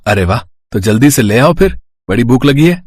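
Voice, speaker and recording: A man's voice: mid-range voice, expressive, moderate pace, slightly rough, no room sound. A man playing a kind, earnest poor village farmer and husband in a moral-story cartoon. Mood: cheerful.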